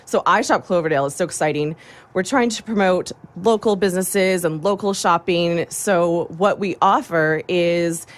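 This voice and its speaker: A woman with a slightly low voice talks as a guest on a radio show. She is upbeat and enthusiastic, explaining and promoting things in a casual, conversational way. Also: normal speed. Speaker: radio show guest, designer and chamber board member. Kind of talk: casual promotional interview talk.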